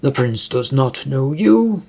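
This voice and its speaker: Narrator voicing a slow, stern orc captain. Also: mid-range voice, big pitch swings, creaky, warm tone, heavily acted. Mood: stern, defiant. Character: large tusked orcish male captain, stern, gruff, loyal.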